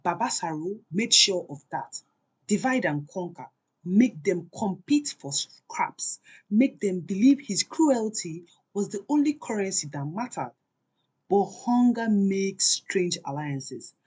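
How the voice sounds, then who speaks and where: A woman narrating a scripted folktale as a storyteller, in a deliberate, dramatic way with pauses. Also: mid-range voice, animated, a little mellow, breathy edge.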